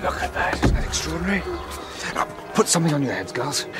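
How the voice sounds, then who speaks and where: An actor in a radio drama playing a charming, commanding Englishman on holiday, suave, brisk and decisive. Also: on the high side, sing-song, over loud music. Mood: jovial, brisk.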